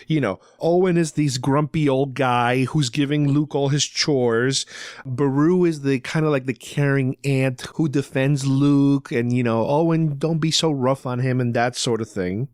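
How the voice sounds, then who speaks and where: A man's voice: medium pitch, measured pace, dry recording, studio quality. A man co-hosting a podcast, casually recapping a story in an explanatory, conversational way. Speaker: podcast co-host.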